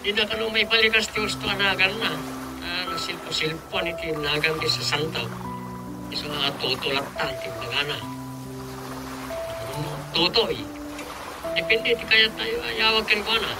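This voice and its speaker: An actor with an Ilocano Filipino accent playing an excitable, suspicious, grumbling village man in a radio drama comedy. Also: sits high, normal speed, pauses often, over music. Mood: wary, joking.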